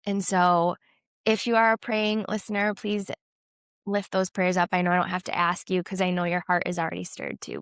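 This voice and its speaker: Woman co-host, nasal from a cold, earnest and prayerful, smooth clean voice. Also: mid-range voice, no room sound, clean studio sound. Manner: earnest, warm-hearted, faith-filled. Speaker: podcast co-host. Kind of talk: candid casual chat.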